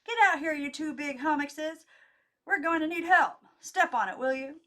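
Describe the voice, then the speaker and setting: A woman's voice: sits high, normal speed, bright, forceful tone, pitched above the performer's own voice. A woman voicing a brash, plucky young mother in an audiobook, with emphatic, colloquial delivery. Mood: bossy, excited. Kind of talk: audiobook character dialogue.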